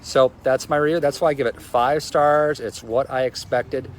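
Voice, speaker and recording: A man's voice: mid-range voice, fairly even intonation, moderate pace, a little hiss or hum. A man with a strong voice giving a casual, loosely phrased spoken review. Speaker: product reviewer.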